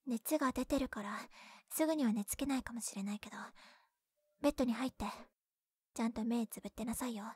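A woman voicing a bashful, secretly caring tsundere young childhood friend in close-up ASMR roleplay, breathy and often whispering, scolding yet tender. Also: on the high side, fast talker, a little mellow, no room sound. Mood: gentle, bashful. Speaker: voice actress in roleplay audio. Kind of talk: Japanese ASMR situation-voice roleplay. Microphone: close binaural microphone, dry.

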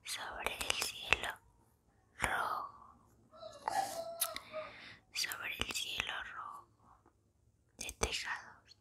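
Young woman whispering slow, soothing ASMR. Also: rumbling low. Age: 20s. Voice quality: breathy unvoiced whisper. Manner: slow, soothing, deliberate pauses. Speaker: ASMR host. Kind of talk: whispered ASMR poetry reading and chat. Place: small room at home, indoors. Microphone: large foam-covered microphone very close to mouth.

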